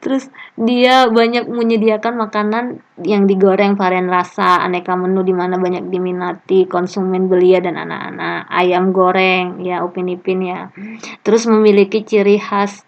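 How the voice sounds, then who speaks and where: A woman's voice: fairly low pitch, little pitch movement, moderate pace, creaky. Chatty woman lecturer on a muffled call. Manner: chatty, reads student comments aloud, jokes and laughs. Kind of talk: online class discussion. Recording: muffled lo-fi online call audio.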